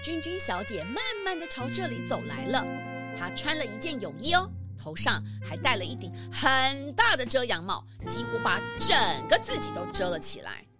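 A woman narrating a children's story in an animated storytelling style. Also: a little high, moderate pace, music in the background.

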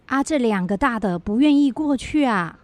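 A woman's voice: fairly high pitch, animated, normal speed, a little mellow, no echo, natural voice. A woman playing a friendly, inquisitive village auntie in a children's educational radio drama, talking in a folksy, admiring way. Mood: curious.

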